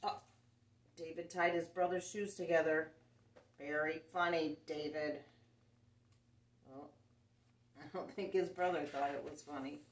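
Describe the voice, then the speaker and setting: A woman's voice: low voice, steady tone, a little slow, pauses often, a little hiss or hum. A middle-aged woman hosting a children's storytime, reading a picture book expressively in simple phrases with little exclamations and asides to young listeners. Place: indoor room, seated before a dark backdrop. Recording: roomy, distant camera microphone.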